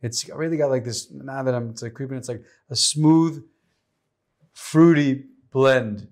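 A man's voice: mid-range voice, a little slow, a little mellow. Enthusiastic rambling male wine show host. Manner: enthusiastic, rambling with many likes. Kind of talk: casual wine tasting chat. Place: studio set with vineyard backdrop. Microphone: lapel mic.

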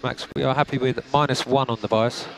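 A man working as race engineer, giving calm, clipped gap numbers and setup instructions to a driver. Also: medium pitch, a little fast, creaky, noisy background. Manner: calm, methodical, clipped updates. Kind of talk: team radio instructions. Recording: compressed team radio with music.

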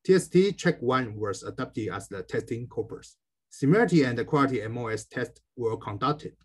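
A man with a slightly husky voice gives a careful, formal scripted academic presentation as a researcher. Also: medium pitch, expressive, moderate pace.

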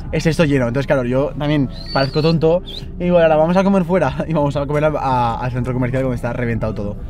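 A young man from Spain in his twenties, chatting fast to a handheld camera, explaining things to his viewers. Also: a little high, a little mellow, a little hiss or hum. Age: 20s. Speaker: vlogger. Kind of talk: casual vlog talk to camera. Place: car, parking lot, then room at home. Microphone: handheld camera at arm's length.

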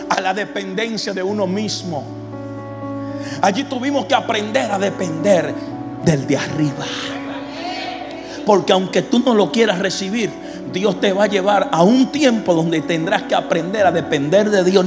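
A man in his thirties with a Dominican accent, preaching a fervent sermon into a handheld mic, swinging between shouts and hushed lines. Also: sits high, long reverb tail. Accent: Dominican Spanish. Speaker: pastor. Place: large church stage with an audience. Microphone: handheld microphone.

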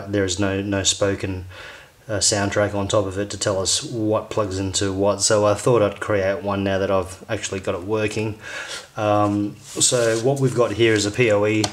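A man talking casually through a how-to demonstration in an informal, explanatory way. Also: lowish voice. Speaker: amateur tutorial maker.

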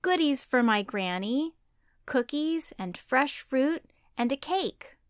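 Woman as a high-pitched, innocent little girl. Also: animated, slow, clear, resonant tone, no room sound, clean studio sound. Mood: trusting, polite. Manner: innocent, polite, sing-song. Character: sweet, trusting little girl in a fairy tale. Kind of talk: children's puppet show.

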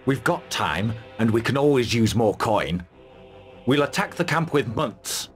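A man playing a gruff, confident mercenary commander, curt and dry, in a fantasy video game. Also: medium pitch, animated, a little slow, soft background music, lightly acted. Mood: decisive.

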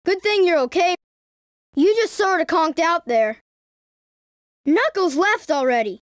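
Eager, high-pitched little boy fox. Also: measured pace, no echo, clean studio sound. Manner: excitable, youthful. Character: eager young boy fox sidekick, earnest and urgent. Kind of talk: video game cutscene acting.

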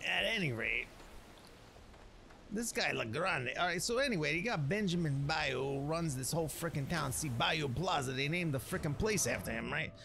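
A man's voice: fairly high pitch, expressive, normal speed, gravelly, pushed and bright, a little hiss or hum. A man doing rambling in-character game commentary in a colloquial, streetwise wiseguy manner. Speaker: gameplay narrator playing a storyteller character.

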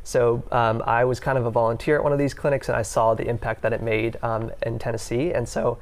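Casual young man, flat delivery. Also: mid-range voice, a little fast. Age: young adult. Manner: casual, many fillers like "um" and "like". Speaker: pre-med student volunteer. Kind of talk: live TV interview. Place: TV news studio. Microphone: lapel.

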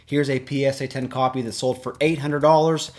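A man's voice: medium pitch, level tone, brisk, a little mellow. A man, hosting an online video in an upbeat, informative way, reading out a rundown to camera. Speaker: YouTube host. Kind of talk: scripted-style auction price rundown to camera. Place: small indoor room with shelves of collectibles.

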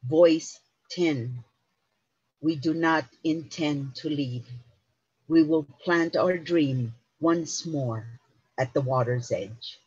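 A man giving a measured reading of poetry over a video call. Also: fairly high pitch, darkish tone, breathy edge, dry recording. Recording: dull lo-fi video call audio.

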